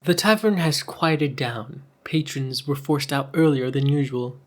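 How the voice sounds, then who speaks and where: A man's voice: medium pitch, unhurried, slight background noise. A man co-narrating a scripted story in a conversational style, with a dry, bantering manner. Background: plucked guitar music.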